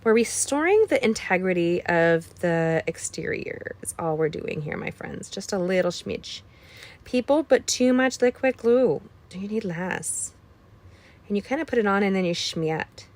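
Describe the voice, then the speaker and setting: A woman's voice: mid-range voice, lively intonation, normal speed. Chatty woman with a scratchy voice. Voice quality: slightly scratchy voice. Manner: chatty, playful asides, drops to whispers. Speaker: card-making tutorial host. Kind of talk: casual craft tutorial chat.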